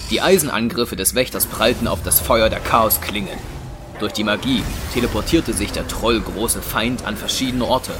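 A man's voice: on the low side, noisy background. A man narrating a scripted audio drama as a dramatic storyteller. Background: music.

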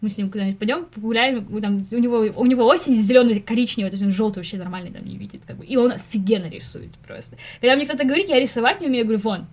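A woman's voice: fairly high pitch, very fast, few pauses, a little mellow. A woman chatting casually as a podcast guest, an animation teacher who tells stories expressively and often acts out quotes with laughter. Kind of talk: casual interview chat.

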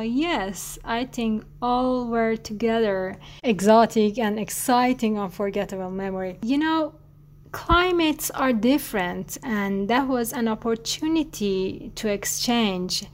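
A woman from Iran with an Iranian accent, a native Farsi speaker, answering interview questions in halting English and searching for words. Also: fairly high pitch, a little mellow. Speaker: guest artist.

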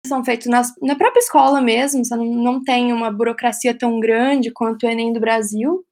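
High-voiced chatty woman. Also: fairly even intonation, a little fast, darkish tone. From Brazil. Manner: chatty, explains at length with frequent "né?" and light laughs. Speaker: guest, professional ballerina living in England. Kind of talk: casual interview answers.

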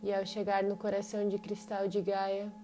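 A woman with a Brazilian accent leads a guided meditation in short, unhurried phrases separated by long pauses. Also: medium pitch, completely flat delivery. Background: meditation music with singing bowls.

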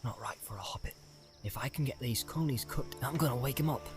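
A man's voice: high-pitched, big pitch swings, normal speed, soft background music, pitched above the performer's own voice. A man voicing a loyal, plain-spoken hobbit servant with blunt, homely, rustic speech in a storybook reading. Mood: worried.

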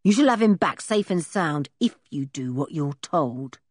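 A woman voicing a rough, menacing male captor in a storybook reading, curt, threatening and commanding. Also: deep voice, animated, a little slow, darkish tone, no echo, studio quality.

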